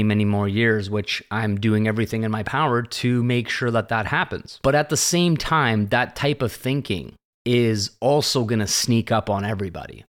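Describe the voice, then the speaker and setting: A man in his late thirties giving a rambling, reflective solo monologue as a podcast host, often tagging his points with "right?". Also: on the low side. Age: late 30s. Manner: rambling and conversational, often tags "right?" and "this kind of thing".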